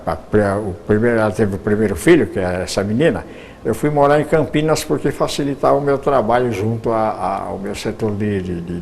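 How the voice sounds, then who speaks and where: A man's voice: lowish voice, creaky, a little mellow, slight background noise. An older man, a retired radio announcer telling his life story, rambling with frequent restarts.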